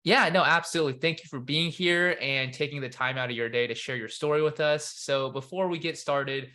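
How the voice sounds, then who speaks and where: An upbeat young man hosts an interview show and welcomes his guest with enthusiasm. His voice is bright and forceful, and he speaks into a studio condenser mic. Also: a little high, brisk. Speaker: podcast host. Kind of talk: interview host intro.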